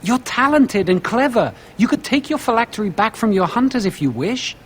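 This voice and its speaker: Young apprentice mage, earnest, high-pitched, very animated, persuasive, video game dialogue. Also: male voice, moderate pace, husky, full character voice. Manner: urgent, persuasive.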